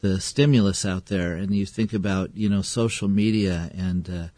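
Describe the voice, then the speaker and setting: A man's voice: low-pitched, moderate pace, warm tone, dry recording, studio quality. A man interviewing a guest in an easygoing, affable way, chuckling often as he talks. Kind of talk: casual interview talk.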